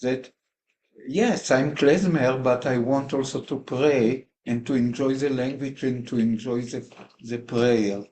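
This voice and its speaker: A man whose first language is Hebrew, sharing a personal reflection in a class discussion, hesitant with many pauses and fillers. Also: medium pitch, darkish tone. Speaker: class participant.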